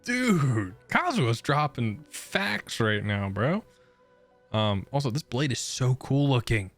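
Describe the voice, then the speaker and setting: A male gaming host with a mid-pitched voice reacts casually as he plays. His talk is playful and slangy, with faint game music underneath. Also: very animated, takes it slowly. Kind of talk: casual reaction commentary over gameplay.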